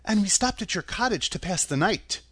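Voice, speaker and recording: A man's voice: high voice, lively intonation, breathy edge, dry recording, voice pushed up, heavily acted. A man voicing a timid young girl. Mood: gentle. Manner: soft, slow, wondering.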